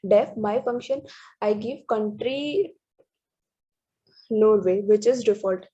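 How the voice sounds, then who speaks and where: A woman reads through programming slides, stopping often and restarting words. Her voice sits fairly high and sounds husky and mellow. The recording is dull and lo-fi. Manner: halting, restarts words, many fillers. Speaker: student presenter.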